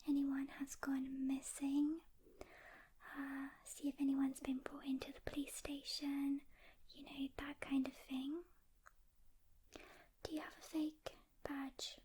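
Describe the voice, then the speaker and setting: Softly whispering woman, slow and gentle. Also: high voice, monotone. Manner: gentle, reassuring, unhurried instruction with pauses. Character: calm, caring female demon hunter mentoring a rookie. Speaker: ASMR roleplay performer. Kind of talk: soft-spoken whispered ASMR roleplay.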